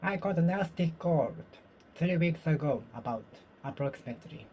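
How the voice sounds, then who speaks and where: A Japanese-speaking learner works through English drills alone, saying each sentence slowly and carefully, again and again. The voice sits at an ordinary pitch and is still getting over a cold. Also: lively intonation, a little mellow, a little hiss or hum. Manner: slow, careful repetition of the same sentences. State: recovering from a cold. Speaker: vlogger practicing English speaking. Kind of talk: self-study speaking practice, repeating phrases aloud.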